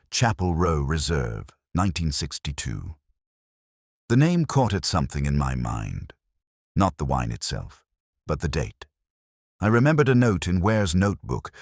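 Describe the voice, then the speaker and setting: A man narrating a scripted first-person mystery story in a measured, formal, literary manner. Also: a bass voice, no echo, studio quality.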